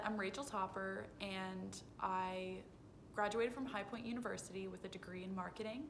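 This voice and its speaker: A woman in her twenties giving earnest, explanatory, rehearsed answers as an interviewee. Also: gravelly. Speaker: interviewee, foundation communications director. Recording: phone video with noisy low rumble.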